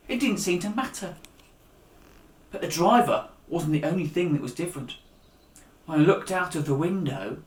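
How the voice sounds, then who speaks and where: Male storyteller for young audiences, animated and direct, recorded with noticeable room echo. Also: fairly high pitch. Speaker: author and storyteller. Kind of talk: story reading aloud for young listeners. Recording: echoey room.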